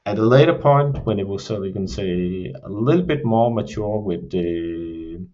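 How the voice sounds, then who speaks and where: A Danish man with a Danish accent, explaining production work in a measured podcast discussion and often saying "you can say". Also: fairly low pitch, expressive, dull audio. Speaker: creative production lead.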